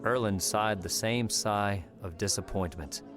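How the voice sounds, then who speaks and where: Low-voiced man narrating a story. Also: unhurried, over music. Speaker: audiobook narrator.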